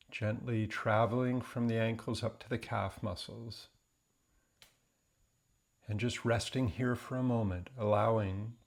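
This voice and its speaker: A man leading a guided meditation in a gentle, unhurried, deliberate way, leaving long pauses between phrases. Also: fairly low pitch, vocal fry.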